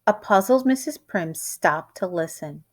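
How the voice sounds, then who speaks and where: A woman reading a children's picture book aloud like a teacher, with expressive, deliberate and dramatic storytelling. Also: on the low side.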